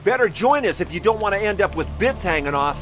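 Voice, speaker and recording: Fantasy game commoner: high male voice, anxious, grumbling, hurried. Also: expressive. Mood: bitter, urgent. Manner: grumbling, urgent. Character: disgruntled, anxious townsman fleeing a plague city. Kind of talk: video game character acting.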